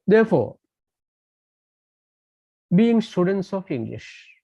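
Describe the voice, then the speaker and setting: A man's voice: high-pitched, big pitch swings, slow, creaky, dark tone, no echo. A man whose first language is Tamil, giving an online lecture by phone, speaking in a hesitant way with many fillers and restarts. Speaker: guest lecturer. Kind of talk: online lecture over a call. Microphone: phone on a landline call. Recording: dull dry phone-call audio.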